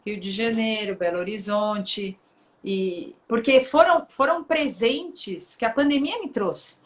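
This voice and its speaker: A woman chatting casually among friends, in a lively way with laughing asides. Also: medium pitch, slow pace. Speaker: live stream guest. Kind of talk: casual chat.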